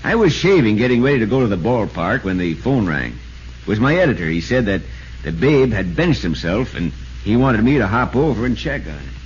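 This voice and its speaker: A man's voice: lowish voice, very animated, a little fast. A man acting an earnest, insistent baseball reporter in 1940s American radio drama style. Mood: dramatic storytelling. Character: earnest, urgent male baseball reporter telling his story. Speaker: narrator and actor in a radio drama. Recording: muffled, noisy vintage radio recording.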